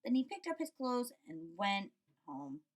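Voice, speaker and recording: A woman teacher reading a story aloud to children and commenting on it, expressive, often checking in with her listeners. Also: mid-range voice, unhurried. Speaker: school teacher.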